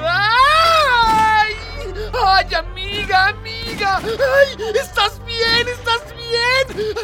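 Shrill, panicky, melodramatic sidekick. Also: female voice, drawn-out words, heavily acted. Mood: distraught. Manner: shrieking, melodramatic. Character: excitable, panicky comic friend in battle. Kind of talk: comic radio drama acting. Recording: distant, very loud music behind.

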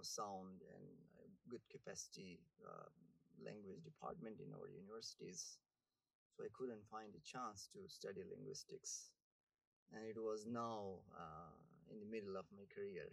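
A middle-aged man from northern Pakistan, speaking in a second language, answers slowly and with frequent fillers. His voice sits low with a gravelly edge. The recording is dry and close. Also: dry recording, poor audio. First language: Burushaski. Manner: hesitant, many fillers and pauses. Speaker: linguistics student and teacher. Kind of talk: interview answers.

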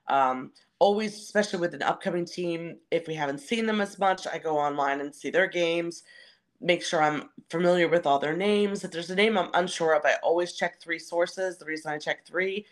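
Low-key explanatory woman in interview. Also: moderate pace. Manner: conversational, explanatory. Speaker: baseball stadium announcer. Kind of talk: casual interview answers.

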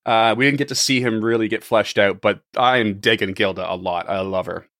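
A hoarse man acting as game master on a tabletop podcast, rambling and laughing a lot between restarts. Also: on the low side, brisk, fairly bright tone, dry recording, clean studio sound.

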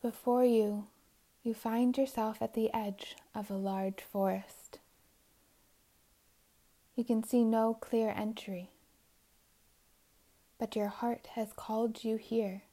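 A woman leading a guided meditation in a soothing, unhurried manner, with long pauses and soft, breathy phrase endings. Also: fairly high pitch, fairly even intonation.

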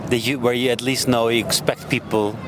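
A man's voice: mid-range voice, flat delivery, fairly quick. Guy host asking qs in a busy park, jokey, traffic noise around. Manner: jokey, many short backchannels. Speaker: interviewer. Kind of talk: casual interview. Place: outdoors in a city park. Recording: outdoor street noise.

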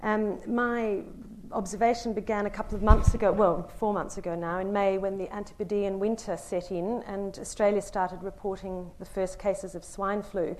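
A woman from Italy, a newspaper correspondent, giving a prepared panel talk in an articulate, measured way with dry wit. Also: medium pitch, steady tone, darkish tone, slight background noise, low-quality recording.

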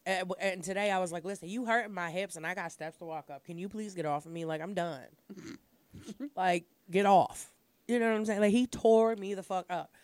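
A woman with a voice worn raspy by a sinus infection hosts a loose, casual chat. She tells stories loudly and crudely, full of slang. The sound is dry and close. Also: on the low side, no room sound. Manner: loud, laughing, storytelling with crude slang. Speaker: podcast host.

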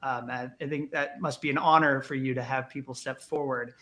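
A man's voice: mid-range voice, fairly even intonation, fairly quick, a bit bright. A man hosting a podcast interview, asking long, hesitant questions full of fillers. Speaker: interview host.

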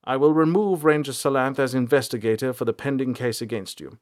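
A man voicing a stern, measured elder of a rangers' council, speaking formally and deliberately in a fantasy audiobook. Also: medium pitch, close to an everyday voice. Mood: measured concession. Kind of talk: dramatic fantasy audiobook character.

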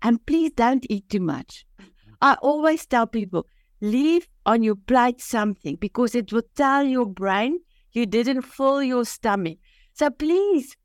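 A woman's voice: high voice, no echo. Older south african lady, afrikaans is her first language, heartfelt and earnest, keeps restarting her sentences, dry close sound. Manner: earnest and heartfelt, with frequent restarts and simple phrasing. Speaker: podcast guest. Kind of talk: emotional personal storytelling.

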